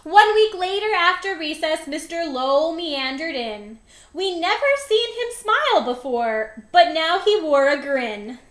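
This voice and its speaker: A woman's voice: very high voice, animated, measured pace, fairly bright tone, roomy sound. A woman reading a children's storybook aloud expressively, with dramatic, emphatic phrasing. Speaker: storybook reader.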